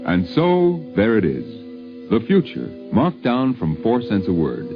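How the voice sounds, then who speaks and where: Deep, animated 1950s radio narrator, male. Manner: measured, wry, dramatic. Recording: muffled 1950s radio broadcast with music.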